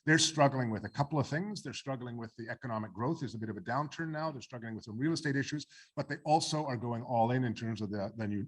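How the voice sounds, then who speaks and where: A man speaking on a panel discussion, opinionated and joking with the audience, with many restarts. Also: medium pitch. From Canada. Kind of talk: panel discussion answering questions.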